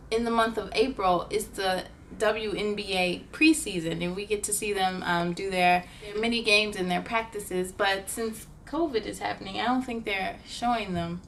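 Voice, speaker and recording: A woman hosting a story time for children, reading and chatting in an animated, playful way. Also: on the high side, a little hiss or hum. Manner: animated, exclamatory, playful with sound effects. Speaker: story time host reading picture books. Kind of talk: children's read-aloud storytelling.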